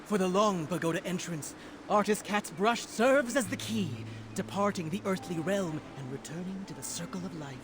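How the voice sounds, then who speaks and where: A man's voice: high voice, normal speed, background noise, lightly acted. A man voicing a grandiose storyteller in a video game, telling legends in a formal, dramatic, declaiming manner. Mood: grand, dramatic. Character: grandiose male storyteller of ancient legends, easily flustered.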